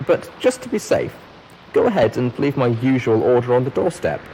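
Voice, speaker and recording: A man voicing an excitable, boastful female pony leaving a recorded message, with gushing, theatrical emphasis. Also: mid-range voice, moderate pace, slight background noise, voice pushed up, rough recording. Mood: excited, boastful. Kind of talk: recorded message in a fan fiction reading.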